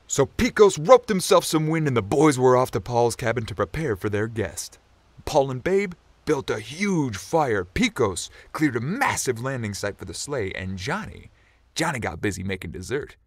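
A man narrating a scripted Christmas story as an animated storyteller. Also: mid-range voice, moderate pace, no room sound.

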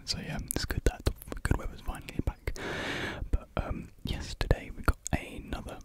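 Young British male, close-miked breathy whisper, casual and hesitant ASMR chat. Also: normal speed. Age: young adult. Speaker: ASMR video creator. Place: small indoor room at home. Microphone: large USB desktop mic, very close.

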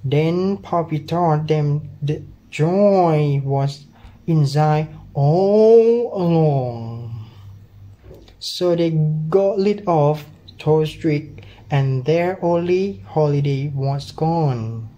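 A young student with a Thai accent narrates a storybook-style cartoon script. The delivery is very slow, at a medium pitch. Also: warm tone, lo-fi sound. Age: young adult.

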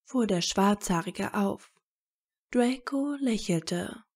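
A woman's voice: mid-range voice, animated, slow pace, a little mellow, breathy edge. A woman reading a story aloud as its narrator in a scripted audiobook style.

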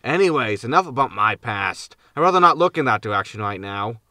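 A man's voice: mid-range voice, expressive, a little fast, sharp tone, dry recording, studio quality. A man playing a grumpy, self-excusing spaceship captain in a sci-fi comedy audio drama, sardonic and grumbling. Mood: wistful grumbling.

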